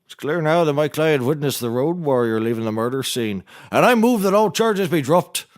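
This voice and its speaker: A man's voice: fairly high pitch, expressive, normal speed, natural voice. An actor playing a bumbling maintenance man turned lawyer in a scripted comedy, folksy and mock-formal, wandering off the point. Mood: scatterbrained, self-assured. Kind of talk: scripted comedy courtroom drama acting.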